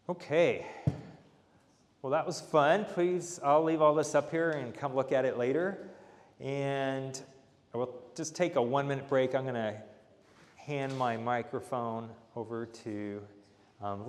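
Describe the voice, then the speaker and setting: A man presenting at a community event, explaining things casually with plenty of fillers and hedges. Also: medium pitch. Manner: casual, hedging, filler-heavy. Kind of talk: informal demonstration talk. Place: church hall. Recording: long hall reverb.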